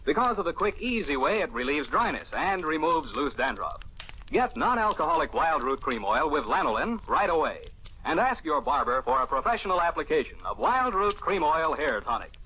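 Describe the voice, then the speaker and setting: A man's voice: a little high, very animated, normal speed, bright, forceful tone, a little hiss or hum, rough recording. A man announcing in a 1940s radio style, with polished, emphatic salesmanship. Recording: old radio broadcast recording.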